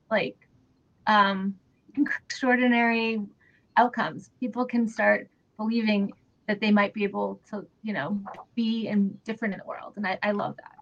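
Brief: woman guest on a radio show, earnest and halting, muffled lo-fi sound. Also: a little high. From New Hampshire, USA. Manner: earnest, warm, many ums and restarts. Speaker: guest, theater executive director. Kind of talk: radio interview answers. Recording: dull lo-fi radio audio.

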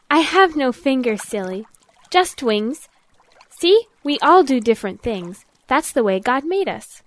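A woman's voice: high-pitched, big pitch swings, takes it slowly, full character voice. A woman voicing a friendly, sensible young female duck in an audio drama, patiently explaining. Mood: friendly, teasing.

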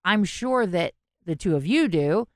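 A woman with a Southern US accent co-hosting a podcast, earnest and chatty. Her voice sits low and sounds smooth and resonant, recorded dry and close. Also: expressive, a little slow, dry recording. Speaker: podcast co-host. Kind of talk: casual podcast chat.

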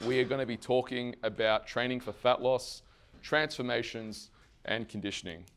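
Hoarse man giving a fitness seminar. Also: mid-range voice, moderate pace. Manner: energetic, asks the audience questions and answers them himself; swears casually. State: hoarse, losing his voice. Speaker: fitness coach presenting to trainers. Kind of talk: live seminar presentation.

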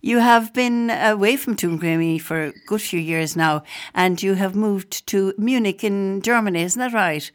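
A woman hosting a casual interview, chatty and quick to laugh. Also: medium pitch. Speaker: radio host.

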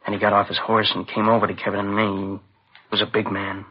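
An actor playing a shaken, exhausted young student witness in a 1950s radio drama, halting and subdued. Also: male voice, lowish voice, monotone, breathy edge. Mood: shaken, recounting. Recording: dull old radio recording, faint noise.

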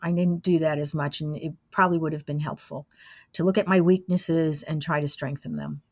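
A woman's voice: low-pitched, fairly even intonation, fairly quick, a little mellow, no room sound, clean studio sound. A woman in her sixties reminiscing casually as an interview guest. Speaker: interviewee, radio station alumna.

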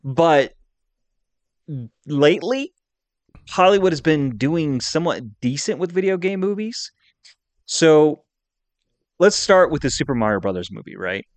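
A man's voice: on the high side, very animated, unhurried, pauses often, dry recording. A man co-hosting a podcast, chatting casually in a talkative, rambling, conversational way. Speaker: podcast co-host.

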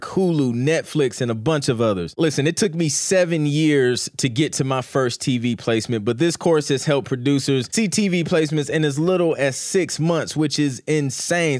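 A man with a medium-pitched voice reads a scripted promo for a course. He addresses the listener directly in a sales-pitch manner. The recording is dry, with no room sound. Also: darkish tone, no echo. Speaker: producer promoting his online course. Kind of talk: scripted advertisement read.

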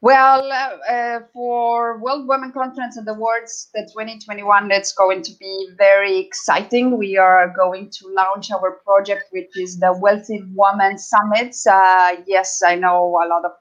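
A woman from Estonia with an Estonian accent, answering as an interview guest in a halting, conversational way with frequent pauses and repeated words. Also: mid-range voice, flat delivery, dull audio.

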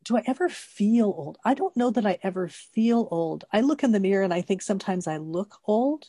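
A woman's voice: mid-range voice, lively intonation, brisk, mellow, breathy edge, dry recording, studio quality. A woman in her sixties co-hosting a casual chat, explaining her points thoughtfully and laughing easily. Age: 60s. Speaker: co-host.

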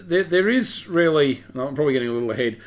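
A man's voice: fairly high pitch, lively intonation. A man co-hosting a casual podcast, eager and listing examples. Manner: rapid, eager, lists examples. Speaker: podcast co-host. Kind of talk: casual chat.

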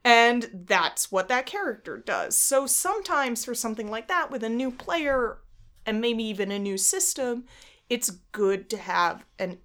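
A woman's voice: a little high, expressive, measured pace, fairly bright tone. Chatty woman co-hosting a podcast. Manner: chatty, quotes imagined players, many fillers. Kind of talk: casual podcast chat about tabletop games.

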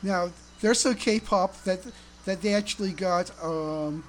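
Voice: mid-range voice, slow. A fan podcast co-host explaining things casually, stammering and repeating words.

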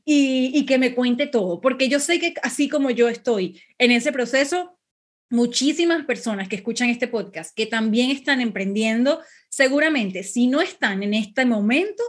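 A woman's voice: a little high, lively intonation, moderate pace. A woman hosting a podcast, effusive and chatty, laughing often as she talks with her guest. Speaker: podcast host. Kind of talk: casual podcast interview.

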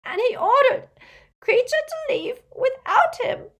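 A woman voicing a frightened, servile old male house-elf in an audiobook, tearful and halting as he speaks of himself in the third person. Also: very high-pitched, big pitch swings, vocal fry, fairly bright tone, voice pushed up, full character voice. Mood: sobbing, anguished. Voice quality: tearful, moaning, breaking voice.

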